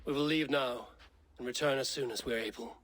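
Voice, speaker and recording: Casting note: mid-pitched man voicing a cautious Viking chief, grave and measured, faint ambience. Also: fairly even intonation, a bit bright, a little hiss or hum, natural voice. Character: steady Viking clan leader, cautious and measured. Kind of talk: video game cutscene.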